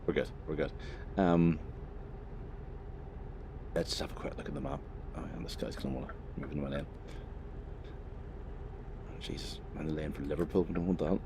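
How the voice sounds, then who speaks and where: Deep-voiced Northern Irish man rambling over a game. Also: fairly even intonation, normal speed, pauses often, noisy background. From Northern Ireland. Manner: rambling, chatty, swears freely, laughs at himself. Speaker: gaming channel host. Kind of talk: casual gameplay commentary.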